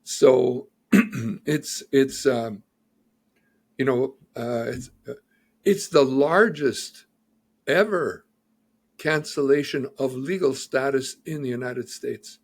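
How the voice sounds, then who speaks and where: A man's voice: mid-range voice, slow, long pauses, breathy edge. A man who is an immigration lawyer, methodically explaining legal news in an interview and citing figures and reports.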